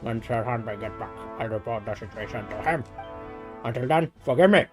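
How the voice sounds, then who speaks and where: A man's voice: medium pitch, animated, fairly quick, soft background music, voice pushed down, natural voice. Man voicing a curt Indian-accented military officer. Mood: curt, evasive. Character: stubborn, dismissive military camp officer, defensive about authority.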